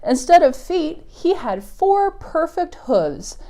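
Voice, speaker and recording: A woman's voice: extremely high pitch, sing-song, takes it slowly, dark tone. A woman hosting a preschool storytime with songs, rhymes and reading, speaking in simple, encouraging phrases to small children. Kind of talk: preschool storytime with singing, rhymes and read-aloud.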